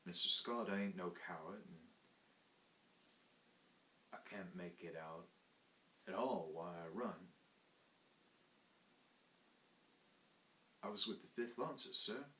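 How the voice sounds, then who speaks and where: A man voicing a blunt young Cockney servant in a read-aloud story, in rough working-class speech full of "sir". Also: low-pitched, measured pace, pauses often, sharp tone, exaggerated voice. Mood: uneasy. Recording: roomy echo.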